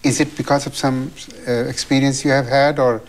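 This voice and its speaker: A man's voice: mid-range voice, level tone. Courteous male interviewer. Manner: courteous, halting with fillers. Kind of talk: interview questions.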